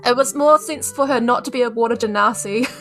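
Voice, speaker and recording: A woman's voice: fairly high pitch, fairly quick, a bit bright, soft background music. A woman with a New Zealand accent playing a tabletop roleplaying game, improvising casually.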